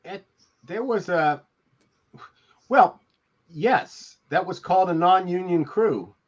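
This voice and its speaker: An older man reminiscing casually in a halting, rambling storytelling manner on a video call. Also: high voice. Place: indoor room on a video call. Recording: dull, lo-fi call audio.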